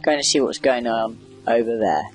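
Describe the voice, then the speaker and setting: A man's voice: mid-range voice, normal speed, faint music behind. A man giving casual, rambling video game commentary, wry and chatty as he reacts aloud. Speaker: gamer doing a video game playthrough.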